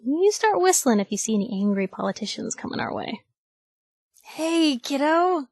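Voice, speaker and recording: Schlagfertige, sarkastische junge Reporterin, ganz trockene Aufnahme. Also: female voice, sits high, big pitch swings, normal speed, creaky, darkish tone, no room sound, full character voice. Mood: brisk, caring. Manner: wry, sassy, informal. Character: plucky, sardonic young woman reporter in a post-apocalyptic wasteland. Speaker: video game companion character. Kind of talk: video game voice acting, conversational one-liners.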